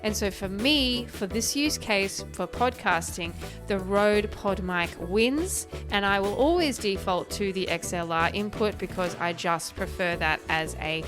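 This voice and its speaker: A woman with a light, higher voice, talking in a friendly, chatty and explanatory way on a close podcast microphone. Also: a little slow, over music. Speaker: host reviewing microphones. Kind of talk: casual tech review talk to camera.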